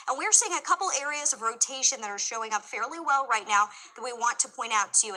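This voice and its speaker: A woman giving live severe weather commentary as a TV forecaster, explaining carefully and in a measured way. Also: on the high side, bright, forceful tone, rough recording.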